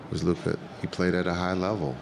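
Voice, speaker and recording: A middle-aged coach speaks into a table microphone at a press conference. His voice is deep and flat, measured and plain, with frequent fillers. Noticeable noise from the press room sits underneath. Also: vocal fry. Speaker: head coach. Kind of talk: postgame press conference answers.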